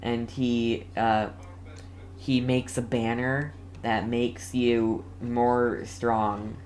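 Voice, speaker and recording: A young man giving casual live game commentary as a streamer, halting and often repeating his phrases. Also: medium pitch, little pitch movement, a little mellow, slight background noise. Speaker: gaming streamer.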